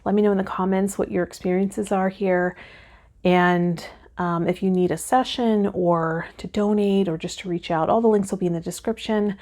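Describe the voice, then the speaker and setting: A woman hosting a tarot channel, talking and reading guidance aloud in a measured, instructional way. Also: medium pitch, steady tone, mellow. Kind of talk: vlog talk with reading aloud from tarot guide.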